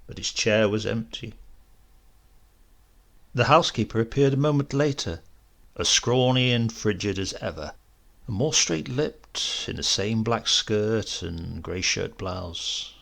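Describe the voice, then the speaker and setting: Low, creaky-voiced male storyteller. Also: unhurried, a bit bright. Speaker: audiobook narrator. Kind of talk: literary scripted narration.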